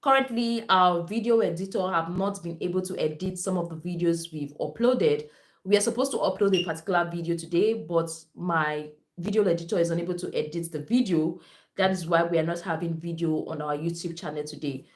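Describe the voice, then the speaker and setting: A woman with a Nigerian accent, a native Esan speaker, hosts a live stream as a nurse and reads out viewers' comments. Her voice sits a little low, and she repeats her phrases as she speaks directly to her audience. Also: a little fast. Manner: repetitive, addresses viewers directly. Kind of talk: livestream reading viewer comments.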